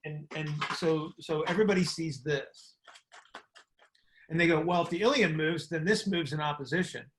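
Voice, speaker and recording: A man's voice: fairly high pitch, a little hiss or hum, rough recording. A man explaining things informally on a group call as host and coach, often repeating his words and checking for agreement. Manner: halting, repeats words, asks checking questions.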